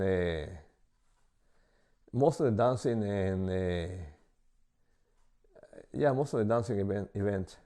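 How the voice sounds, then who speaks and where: Older salvadoran guy, accent, low mellow voice, lots of uh's and restarts. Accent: Salvadoran Spanish. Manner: halting, many uh fillers and restarts. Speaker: interviewee. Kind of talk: casual interview answers.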